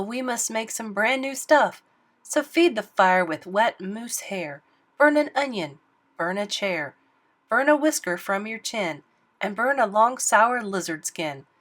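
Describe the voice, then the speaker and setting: A woman's voice: mid-range voice, a bit bright. A band of scheming storybook magicians chanting a rhyming spell in a rhythmic, sing-song cadence, as a woman puts them on. The chant rises and insists with each line. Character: chanting group of sinister magicians.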